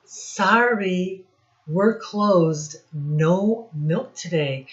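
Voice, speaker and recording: Polite but firm farm cows from a children's storybook, voiced by a woman reader state their demands formally. The delivery is very slow and measured, in a slightly low voice with dull, lo-fi sound. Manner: measured, formal letter-reading.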